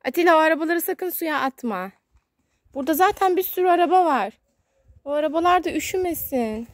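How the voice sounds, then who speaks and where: A woman's voice: high-pitched, expressive, a little fast, no room sound. A woman talking casually to her young child in a coaxing, sing-song way as she films outdoors in a garden on a phone. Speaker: mother filming her child at play. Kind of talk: casual chat with a toddler. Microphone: handheld phone, behind the camera. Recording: faint outdoor background noise, clicks.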